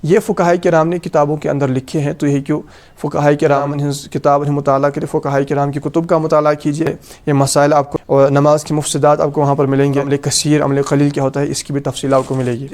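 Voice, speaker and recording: Kashmiri guy, voice a bit on the high side, doing a hands-on islamic lesson, explains and repeats stuff like a teacher. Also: a little mellow. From Kashmir, South Asia. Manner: instructive, repeats points in two languages. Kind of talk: practical religious lesson, demonstrating and explaining.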